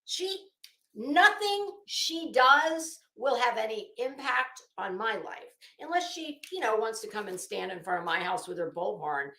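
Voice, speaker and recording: A woman's voice: a little high, big pitch swings, measured pace, bright, forceful tone, reverberant. A woman giving opinionated, casual live commentary as a host, blunt, combative and emphatic, with free swearing.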